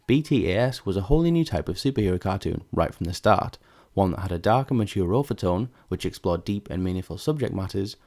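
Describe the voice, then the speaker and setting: A man's voice: low voice, expressive, fairly quick, a little mellow. A man reading scripted narration as the narrator of a video essay.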